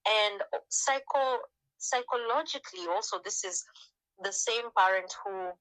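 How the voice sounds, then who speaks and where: A woman from Africa giving an informal talk to a group on a video call, switching to lively voices when quoting parents. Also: measured pace, dry recording, low-quality recording. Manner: conversational, acts out quoted parents with jumps in pitch.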